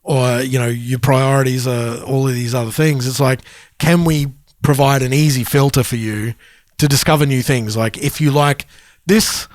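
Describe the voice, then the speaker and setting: A man in his forties co-hosting a podcast, chatting easily and backing his partner up with quick yeahs. The sound is dry and close-miked with no room echo. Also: medium pitch, fairly even intonation. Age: 40s. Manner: agreeable, chatty, quick affirmations, laughs easily. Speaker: podcast co-host. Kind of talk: casual chat.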